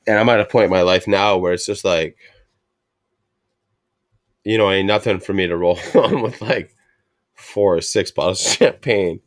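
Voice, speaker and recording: A man's voice: deep voice, normal speed, pauses often, no echo, clean studio sound. A man co-hosting a casual talk show, telling family stories with lots of slang and swearing, and acting out what others said. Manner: slangy, animated, acts out quotes of family. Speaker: podcast co-host. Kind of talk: casual chat with cursing and storytelling.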